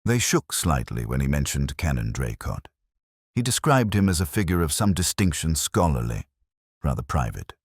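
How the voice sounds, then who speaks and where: A man narrating a scripted first-person detective story in a measured, reflective, literary manner. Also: extremely low pitch, big pitch swings, husky, dry recording, clean studio sound.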